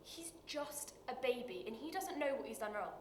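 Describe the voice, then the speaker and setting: A woman acting a dramatic screen monologue as a bitter, wounded working-class woman, confessional and halting, with weighted pauses. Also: fairly high pitch, lively intonation, natural voice. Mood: pained. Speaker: actor performing a monologue. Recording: roomy echo with light background noise.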